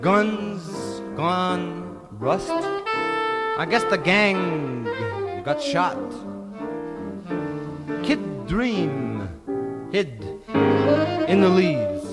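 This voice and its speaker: Very slow, high-voiced man reciting over jazz. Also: sing-song. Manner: drawn-out, fragmentary phrasing. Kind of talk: slow spoken-word poetry recital, half sung. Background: loud jazz music with saxophone and brass.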